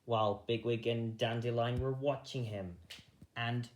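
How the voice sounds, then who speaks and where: A man reading a children's story aloud, a little halting, going back over misread phrases. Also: medium pitch, steady tone, echoey room. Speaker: storybook reader.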